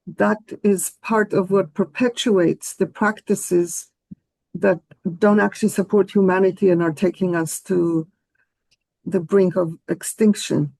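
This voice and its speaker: A woman whose first language is Hebrew, speaking slowly and deliberately as a panelist in a discussion. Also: on the low side, fairly even intonation, vocal fry, a little mellow, no room sound, rough recording. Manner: slow, deliberate, earnest.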